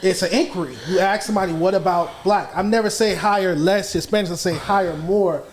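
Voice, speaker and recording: Measured man arguing on a podcast. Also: high-pitched, steady tone, a little hiss or hum. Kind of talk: casual heated podcast discussion.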